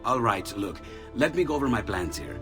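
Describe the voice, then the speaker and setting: An actor voicing an excitable male inventor in a video game, musing one moment and overjoyed the next. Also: lowish voice, normal speed, over music. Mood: thoughtful. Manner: eager, swings from musing to shouting joy. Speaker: game character.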